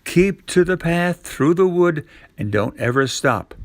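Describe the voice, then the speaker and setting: A man voicing a caring storybook mother, gently firm as she cautions her child. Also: fairly high pitch, lively intonation, unhurried, dry recording, voice pushed up. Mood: caring, cautioning. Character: caring mother warning her young daughter.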